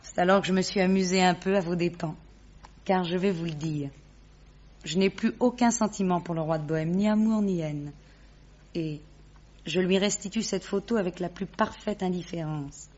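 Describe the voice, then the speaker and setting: An actress playing a poised, witty, teasing high-society lady in a French radio drama, with a playful, mocking, theatrical delivery. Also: normal speed, a little mellow, natural voice. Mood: composed, sincere. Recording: dull lo-fi radio recording.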